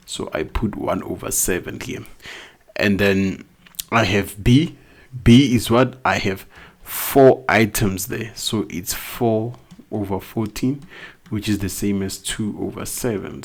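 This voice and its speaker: Accented male math tutor, low gravelly voice, walks through each step and quizzes himself aloud. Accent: non-native English accent. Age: adult, not young. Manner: explains step by step, asks questions then answers them. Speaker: math teacher. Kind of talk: recorded math lesson.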